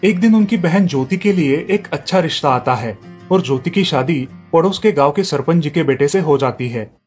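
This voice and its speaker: A man narrating a family cartoon story in a steady storytelling manner. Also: a little high, moderate pace, faint music behind.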